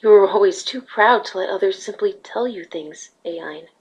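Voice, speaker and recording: Regal pony ruler voiced by a woman: calm, measured, formal, with a breathy mid-range voice. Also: medium pitch, muffled recording, natural voice. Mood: calm, solemn. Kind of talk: dramatic fan fiction reading, cartoon pony character.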